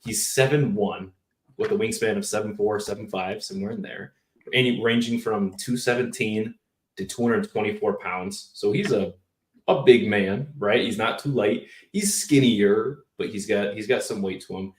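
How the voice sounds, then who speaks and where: A man co-hosting a casual basketball podcast, excitable and leading the talk. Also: fairly low pitch, normal speed, roomy sound, far from the mic. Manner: excitable, hype, leading the discussion. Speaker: podcast co-host. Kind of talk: casual sports podcast chat.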